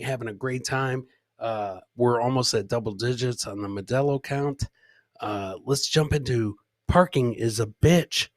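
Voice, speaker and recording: Middle aged guy streaming, punchy bright voice kinda high, says stuff twice, swears, chatting w viewers up close on mic. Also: no room sound. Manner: repeats his phrases, swears casually. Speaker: podcast host and comedian. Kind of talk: casual livestream banter, reading out chat comments. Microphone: close boom-arm microphone with headset.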